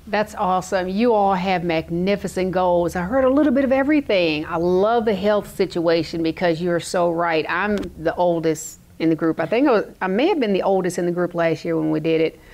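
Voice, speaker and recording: A woman's voice: on the low side, a little fast, few pauses, darkish tone. A woman in her fifties hosting a talk show, gushing with praise and enthusiasm as she leads the conversation. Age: 50s. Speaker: show host.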